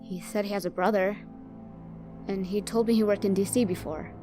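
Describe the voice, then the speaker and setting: Female, medium pitch, actress as earnest loyal cop, audio crime drama. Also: fairly even intonation, a little fast, warm tone, soft background music, natural voice. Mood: guarded, earnest. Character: earnest, loyal young policewoman.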